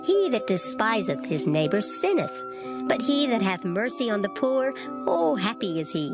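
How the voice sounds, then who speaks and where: A woman giving a dramatized reading of scripture in formal old English, measured and solemn. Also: mid-range voice, very animated. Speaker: scripture reader. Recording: loud music behind the voice. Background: music.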